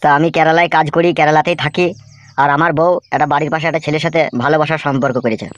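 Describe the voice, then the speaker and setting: Male actor voicing aggrieved young husband, high pitch, very rapid delivery, pleading, confused, comedy cartoon. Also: fairly even intonation, no room sound, close to an everyday voice. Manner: pleading, protesting. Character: aggrieved, bewildered young migrant-worker husband. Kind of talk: Bengali comedy cartoon dubbing.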